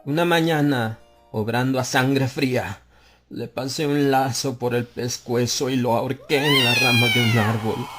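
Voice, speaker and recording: A man narrating a dark literary tale as an audiobook, in a grave, measured first-person delivery. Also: mid-range voice, huge pitch swings. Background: soft music and ambient effects.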